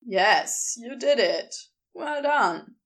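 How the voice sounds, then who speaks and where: A woman's voice: a little high, animated, extremely slow, a bit bright, full character voice. A woman voicing an easygoing, teasing, encouraging man in audiobook character dialogue. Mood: proud, encouraging.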